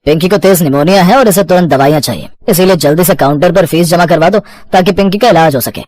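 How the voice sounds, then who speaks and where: An actor voicing a stern, unbending doctor who speaks in a cold, matter-of-fact way in a cartoon story. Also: male voice, fairly high pitch, quick pace, a little mellow, no room sound, natural voice.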